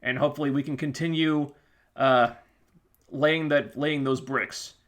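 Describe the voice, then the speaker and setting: A man's voice: mid-range voice, steady tone, unhurried, a bit bright. A man hosting a live stream, losing his voice and rambling with many fillers and restarts as he thanks viewers. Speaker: YouTube channel host. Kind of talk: casual live stream wrap-up.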